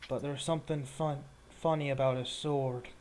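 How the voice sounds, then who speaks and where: A man voicing a measured warrior leader with grudging respect and an understated, hesitant way of talking in a tabletop game. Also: medium pitch, steady tone, a little mellow, close to an everyday voice. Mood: measured, guarded.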